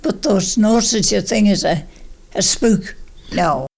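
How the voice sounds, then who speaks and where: A woman's voice: mid-range voice, animated, a little slow, creaky. Plain-spoken Manx woman in her nineties. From Isle of Man. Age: 90s. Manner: plain-spoken, emphatic, repeats herself. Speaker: interviewee recalling childhood. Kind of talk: casual reminiscence.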